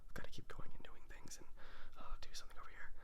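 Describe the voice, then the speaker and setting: A man softly rambling in a casual ASMR style, half-whispering in a hesitant, halting, self-deprecating way. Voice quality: hushed, breathy, half-whispered. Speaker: artist hosting a drawing ASMR video. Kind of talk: soft-spoken ASMR, casual rambling while drawing. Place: sitting on the ground while drawing. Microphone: phone recording. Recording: faint background noise with clicking.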